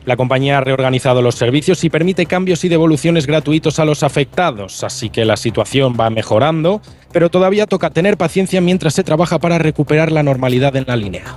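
A man's voice: a little high, fast talker, darkish tone. A man with a Castilian Spanish accent giving a live news report.

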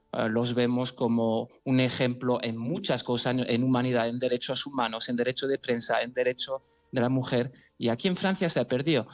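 Earnest man, halting and emotional. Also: medium pitch. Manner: halting, many restarts, earnest. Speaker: interviewee. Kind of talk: emotional phone-in interview.

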